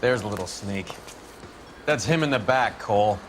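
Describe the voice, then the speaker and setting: An actor playing a sardonic, wisecracking 1940s police detective in a video game, with a needling, sarcastic delivery. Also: male voice, on the low side, expressive, normal speed, fairly bright tone, a little hiss or hum. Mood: brisk, pressing. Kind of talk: video game cutscene acting.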